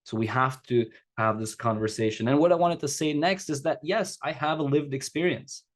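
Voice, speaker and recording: A man's voice: a little high, normal speed. Earnest man speaking over a video call. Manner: casual, earnest, conversational with a few laughs. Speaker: secondary teacher and speaker. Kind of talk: personal talk to an audience. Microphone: remote video call.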